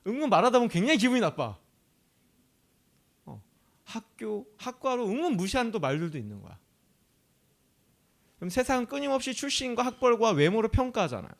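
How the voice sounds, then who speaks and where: A man's voice: very high-pitched, big pitch swings, a little fast, vocal fry, pauses often. A male pastor from Chungcheong, South Korea, preaching a sermon in a conversational way. He often asks the audience questions and laughs easily.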